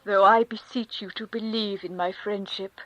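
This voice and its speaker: A woman's voice: medium pitch, fairly even intonation, normal speed, a bit bright, no echo, dull audio, lightly acted. A woman reading a devout countess who consoles in a fervent, preaching manner. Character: devout rapturous aristocratic countess. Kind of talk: audiobook character reading.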